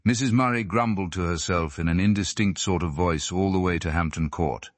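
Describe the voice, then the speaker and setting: A man's voice: sits low, a little fast, no echo. A man reading classic fiction aloud as scripted audiobook narration. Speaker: audiobook reader.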